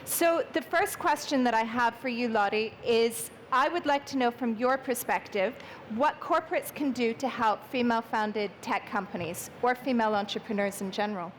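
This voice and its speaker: A woman moderates a panel on a conference stage, brisk and upbeat as she keeps things moving. Her voice is high, and faint room noise hangs under it. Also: low-quality recording.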